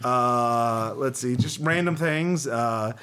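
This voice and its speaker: A man hosting a casual podcast, telling comic anecdotes to friends in an animated, halting way. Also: mid-range voice, clean tone. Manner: animated, profane, halting as he searches for the next point. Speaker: podcast host.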